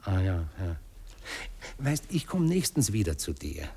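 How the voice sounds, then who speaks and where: An actor playing a sentimental, muddled Viennese gentleman in a radio play, speaking haltingly and musing as he goes. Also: low-pitched, very animated, slightly rough, heavily acted. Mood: tender, musing. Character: sentimental, muddled gentleman client, wistful and awkward. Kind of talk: naturalistic German radio play acting.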